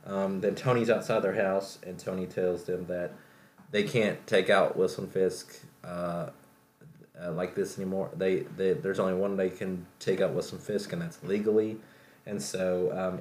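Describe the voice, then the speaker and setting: Deep-voiced man rambling in a roomy space. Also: darkish tone. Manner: rambling, halting, filler-heavy. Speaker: podcast host. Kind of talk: casual solo pop-culture recap. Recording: roomy echo, faint background noise.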